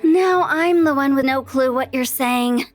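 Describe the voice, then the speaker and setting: Mischievous teen girl, game character: very high voice, unhurried theatrical teasing, faint music behind. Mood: probing, playful. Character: playful, inquisitive, mischievous teenage girl. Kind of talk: video game voice acting.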